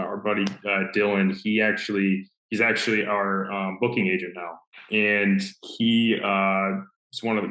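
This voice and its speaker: A deep-voiced young man from Maine, a metal musician, talks in a loose, rambling way, pausing and restarting as he goes. His voice carries a noticeable room echo, as if he were on a video call from an untreated room. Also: a bit bright. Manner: easygoing, rambling, with frequent pauses and restarts. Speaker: death metal musician, interview guest. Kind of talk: casual interview answers. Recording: roomy echo.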